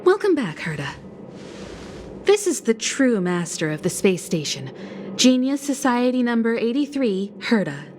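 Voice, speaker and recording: A woman playing a composed, kindly navigator in a video game, speaking with measured poise. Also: fairly high pitch, very animated, a little mellow, a little hiss or hum. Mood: formal, welcoming. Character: composed, mature, kindly female space-train navigator. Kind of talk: video game cutscene acting. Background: music.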